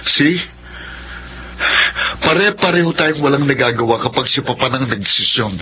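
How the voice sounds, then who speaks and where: Actor as sullen young Filipino son, noisy track. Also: male voice, medium pitch, expressive, moderate pace, dull audio, close to an everyday voice. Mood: bitter. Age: young adult. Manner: brooding, bitter. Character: sullen, resentful young son of a rich family. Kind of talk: Filipino radio drama acting. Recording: noisy radio drama track.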